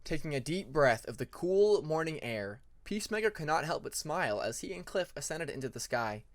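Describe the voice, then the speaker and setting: A narrator reading fan fiction aloud in an expressive audiobook style. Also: medium pitch, moderate pace.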